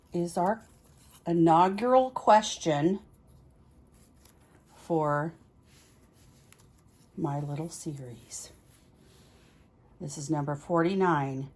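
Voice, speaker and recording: A woman with a slightly low-pitched voice hosts a casual tarot vlog. She speaks very slowly, explaining each step in an informal, chatty way and thinking aloud as she goes. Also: darkish tone. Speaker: tarot reader hosting a practice series.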